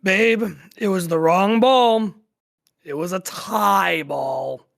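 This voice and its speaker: A man's voice: high voice, slow, gravelly, a bit bright, heavily acted. A man acting a weary, dejected game player in a naturalistic audio drama table read, hesitant and rambling, with some swearing. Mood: agitated, frustrated.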